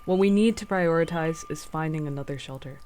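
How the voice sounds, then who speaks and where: A woman's voice: low voice, fairly quick, darkish tone, slight background noise, natural voice. A woman playing a cautious, commanding spaceship captain in a radio drama, speaking with firm authority. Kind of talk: audio drama acting. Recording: music behind the voice.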